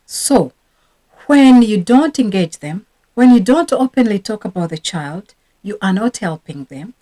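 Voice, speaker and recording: A woman's voice: medium pitch, animated, unhurried, warm tone. A woman from Rwanda, a teacher and parenting coach, talking casually and earnestly to camera about her own parenting regrets. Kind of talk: casual advice talk to camera.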